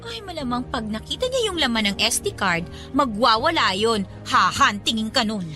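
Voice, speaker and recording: A woman with a Filipino accent playing an excitable young student, the teasing best friend in a radio drama. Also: on the high side, normal speed, over music. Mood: mock alarm. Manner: teasing, exclamatory. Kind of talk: Tagalog radio drama acting.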